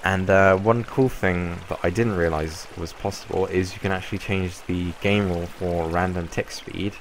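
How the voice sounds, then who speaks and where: A young man with a croaky voice from a cold, casually explaining a game build in a rambling way. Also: low voice, normal speed, slight background noise, rough recording. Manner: relaxed, rambling explanation with fillers. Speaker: Minecraft YouTuber. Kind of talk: casual gameplay tutorial.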